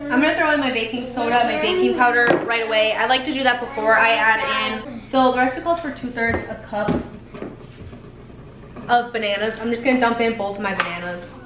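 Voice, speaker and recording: A woman's voice: a little high, brisk. A young woman vlogging casually from her home kitchen, upbeat and rambling, a little congested from a head cold. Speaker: homestead cooking vlogger. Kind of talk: casual kitchen vlog chat. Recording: echoey kitchen, faint room noise.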